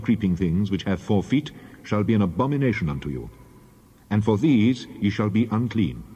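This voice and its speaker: Deep reverberant man reading scripture solemnly. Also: dark tone, breathy edge. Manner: deliberate, declamatory. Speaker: Bible reader. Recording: long reverberant hall echo.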